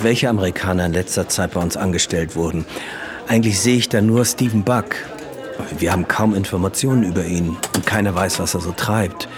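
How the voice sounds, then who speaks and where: An actor in a radio drama playing a company insider who confides information in a conversational way. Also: male voice, fairly low pitch, natural voice. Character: male company insider passing information to investigator. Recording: busy crowd chatter behind.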